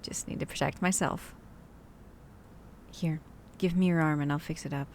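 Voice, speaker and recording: A woman's voice: sits low, fairly even intonation, normal speed, a little mellow, a little hiss or hum. A woman playing a chatty, cautious zombie-apocalypse survivor in an ASMR roleplay audio drama, talking to the listener in rambling, hesitant asides. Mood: cautious, instructive.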